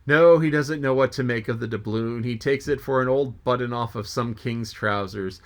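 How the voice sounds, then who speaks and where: A man's voice: mid-range voice, normal speed, close to an everyday voice. A man reading aloud as a jolly, joking sea mate who rambles and exclaims to himself. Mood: musing.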